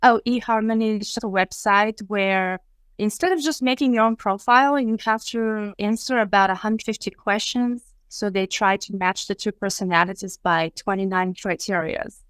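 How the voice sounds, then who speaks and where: A woman from Bulgaria with a Bulgarian accent, a guest telling her story in a relaxed, measured way with frequent pauses and fillers. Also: mid-range voice, smooth, no room sound. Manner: measured, with frequent ums and uhs. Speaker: interview guest. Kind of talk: casual conversation.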